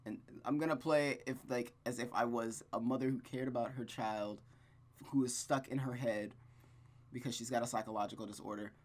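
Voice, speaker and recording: A gaming host reading a visual novel aloud with casual, rambling, expressive commentary. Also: medium pitch, fairly quick. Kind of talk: casual game commentary and read-aloud narration.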